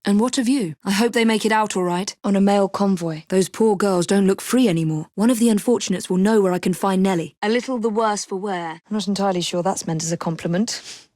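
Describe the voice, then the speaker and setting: A woman's voice: mid-range voice, a little fast, darkish tone, dry recording, studio quality, lightly acted. An actress playing a composed young Victorian woman assassin with an English accent in a video game, wry, proper and determined. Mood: grave, intent, then measured. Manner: crisp, proper, understated.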